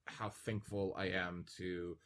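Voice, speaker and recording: Male podcast co-host, lowish register, chatty and informal, effusively appreciative. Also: flat delivery, slow pace, fairly bright tone. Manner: effusive, grateful. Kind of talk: casual chat.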